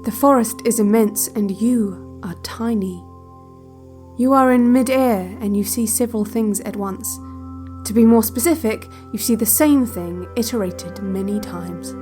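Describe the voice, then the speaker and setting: A woman playing the calm narrator of a relaxation cassette in an audio drama, giving measured, deliberate guided visualization over music. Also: fairly high pitch, close to an everyday voice. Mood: calm, hypnotic. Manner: measured, deliberate, instructional, with short spaced sentences. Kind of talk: slow guided visualization, scripted second-person narration. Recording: music bed under the voice.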